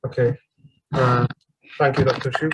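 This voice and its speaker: A man in his twenties from Egypt giving an online seminar presentation as a graduate student, pausing often with ums and uhs. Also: mid-range voice, little pitch movement, husky, poor audio. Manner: hesitant, frequent ums and uhs. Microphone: video call.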